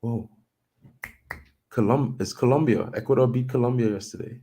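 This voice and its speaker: A man's voice: medium pitch, moderate pace, mellow, slight background noise. A man from Trinidad and Tobago hosting a casual football news show, chatty and loose, thinking aloud with plenty of "you know".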